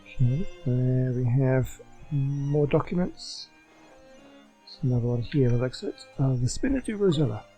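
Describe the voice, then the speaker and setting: A man's voice: medium pitch, expressive, unhurried, mellow, soft background music, rough recording. A man doing a casual video game playthrough, reading in-game text aloud.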